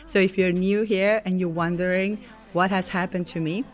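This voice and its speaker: A woman hosts her spiritual podcast with a hoarse, scratchy voice that sits fairly low. She talks casually, stumbling into restarts and soft laughs as she apologizes for how she sounds. Quiet music plays faintly behind her. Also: fairly even intonation, normal speed. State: hoarse, lost voice. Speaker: podcast host.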